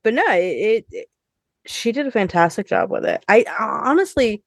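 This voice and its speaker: A woman's voice: fairly high pitch, expressive, normal speed, vocal fry, no room sound, studio quality. A woman co-hosting a podcast in casual, conversational chat, often dropping in "like" as she talks. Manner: conversational, frequent "like". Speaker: podcast co-host.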